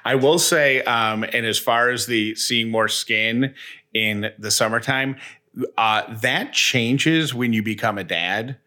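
A man's voice: mid-range voice, slow, pushed and bright. A middle-aged man co-hosting a radio show, moving between casual banter and host-read material.

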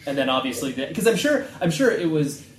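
A man's voice: on the high side, big pitch swings, brisk, slight background noise. A man hosting an interview in a tattoo shop, asking long, rambling questions in a casual, chatty way. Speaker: interviewer, podcast host. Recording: echoey room.

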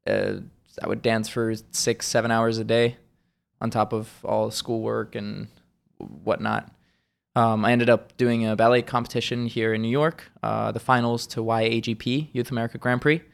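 A young man from Switzerland, a podcast guest talking in a relaxed way and laughing easily. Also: lowish voice, normal speed, creaky. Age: young adult. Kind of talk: casual chat.

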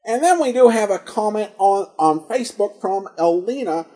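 A man hosting a podcast, giving casual commentary in a halting way, often searching for words and correcting himself. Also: high-pitched, animated, mellow. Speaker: podcast host. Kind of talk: casual commentary on old radio detective shows.

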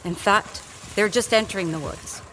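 A woman's voice: mid-range voice, moderate pace, fairly bright tone, a little hiss or hum, rough recording. A woman narrating a scripted audio drama in a storytelling style. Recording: music bed under voice.

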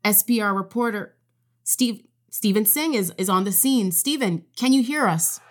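An actress playing a composed, serious public radio news anchor in an audio drama. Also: female voice, medium pitch, animated, normal speed, very noisy, close to an everyday voice.

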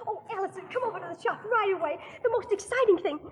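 An actress playing an excitable, spiteful young small-town woman in a 1940s radio drama, gossipy, breathless and eager. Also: very high-pitched, quick pace. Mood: excited, gossipy. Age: young adult. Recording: 1940s radio recording, reverberant studio.

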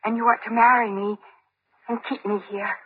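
A woman's voice: fairly high pitch, level tone, normal speed, background noise, natural voice. An actress playing a dreamy, stubborn seventeen-year-old girl in love in an old-time radio drama, with theatrical delivery. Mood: dreamy, tender. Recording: muffled old radio recording, narrow band.

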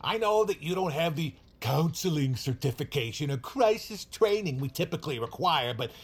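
A man's voice: fairly high pitch, animated, moderate pace, husky. An actor playing a pompous, exasperated male call-center supervisor with a self-important, officious manner in an audio drama. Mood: stern, self-important.

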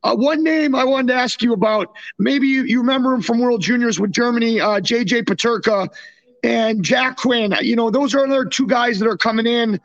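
Very high-voiced North American man, dull audio. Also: fairly quick. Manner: informal, buddy-like. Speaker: sports podcast co-host. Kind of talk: casual sports chat.

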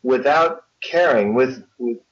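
A man's voice: medium pitch, slow pace. Older man, muffled lo-fi audio. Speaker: guest author. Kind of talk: conversational interview talk. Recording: dull, lo-fi connection.

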